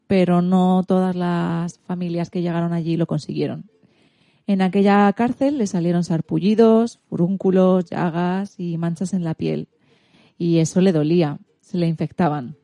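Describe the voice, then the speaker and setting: A woman's voice: on the low side, dark tone, no echo. A woman with an accent from Spain hosting a radio show, telling a story in an informal, scripted way for all ages. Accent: Spanish from Spain.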